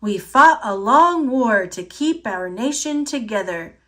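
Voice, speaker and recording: A woman's voice: a little high, animated, slow, a bit bright. A woman voicing a tall, earnest schoolboy playing Abraham Lincoln in a solemn, declamatory storybook reading. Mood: solemn, firm.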